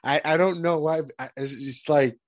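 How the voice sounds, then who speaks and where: A man's voice: fairly high pitch, fairly even intonation, moderate pace, no echo, studio quality. A man in his thirties from Puerto Rico, chatting casually and rambling with lots of restarts and fillers. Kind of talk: casual chat.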